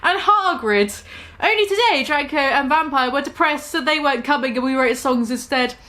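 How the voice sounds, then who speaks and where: Animated high-voiced woman, mock-dramatic reading. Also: moderate pace. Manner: sarcastic, laughing asides. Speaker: comedic reader.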